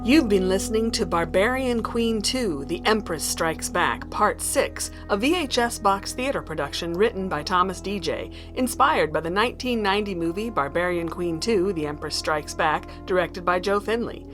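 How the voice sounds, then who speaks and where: A woman's voice: mid-range voice, lively intonation, moderate pace, few pauses, a bit bright, faint music behind. A woman announcer reading scripted closing credits. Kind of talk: scripted narration.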